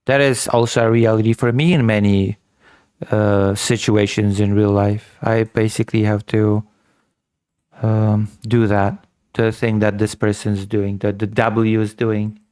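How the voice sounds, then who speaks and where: A man's voice: lowish voice, little pitch movement, a little slow, darkish tone, clean tone. A man hosting a political commentary show who repeats his points emphatically. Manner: emphatic, repetitive.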